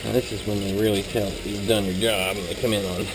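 A man with a fairly low voice talks through a job as he does it, like a trainer. His delivery is casual, plain and practical. The action-camera audio has clear noise and room ambience around him. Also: flat delivery, fast talker. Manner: plain, practical, talking while working. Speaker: cleaning trainer. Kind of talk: casual hands-on instructional narration. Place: small workplace kitchen, indoors. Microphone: body-worn or head-mounted camera.

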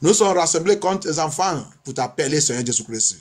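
High-pitched man praying insistently. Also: lively intonation, fairly quick. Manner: insistent, repetitive chanting of prayer phrases. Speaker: pastor leading prayer. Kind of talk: fervent bilingual prayer and sermon.

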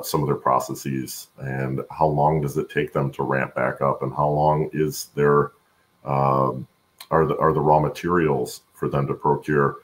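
A middle-aged man with a gravelly voice, explaining his work haltingly as an interview guest on a video call. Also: very deep voice. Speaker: guest, supply chain expert. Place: room at home, on a video call.

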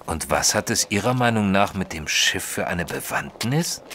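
A man playing a reserved Victorian gentleman, a detective's companion, narrating an audio drama in a measured, formal way. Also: sits low, lively intonation, slight background noise. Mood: polite, cautious.

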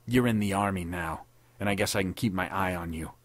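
A man playing a tough, vengeful army captain who speaks in gruff, threatening tones. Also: sits low, brisk, a bit bright, no room sound. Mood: menacing.

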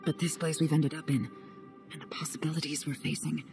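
An actress voicing a cool, secretive young woman agent in a game cutscene, speaking in a calm, measured way. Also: gravelly. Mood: grave. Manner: calm, measured, low-key. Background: game music.

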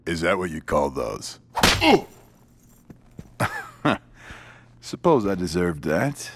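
A man's voice: low voice, big pitch swings, unhurried, noisy background, exaggerated voice. A man playing a cocky young gangster with a hot temper in a video game cutscene, casual and streetwise. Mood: teasing.

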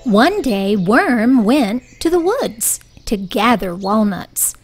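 A woman reading a picture book aloud for young children in a deliberate, expressive storytelling style. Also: on the high side, soft background music.

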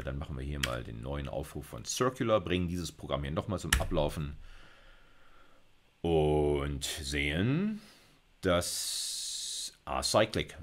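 A middle-aged man giving an informal computer science lecture, thinking aloud as he codes live. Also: low-pitched. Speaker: university lecturer. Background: computer keyboard typing.

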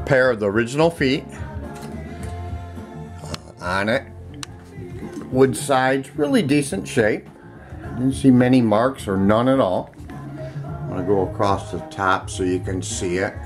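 Deep-voiced man casually demonstrating an item. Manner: plainspoken, unscripted, halting. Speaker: online seller demonstrating an item. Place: indoors, room in a home. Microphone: handheld camera, speaker off screen behind it. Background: music playing from audio equipment.

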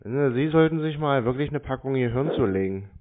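A man's voice: mid-range voice, expressive, normal speed. Guy being curt and challenging on the phone, tinny with a bit of noise. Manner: terse, challenging questions. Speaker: prank call recipient baiting telemarketers. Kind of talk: phone conversation. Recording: noisy, tinny phone-like sound.

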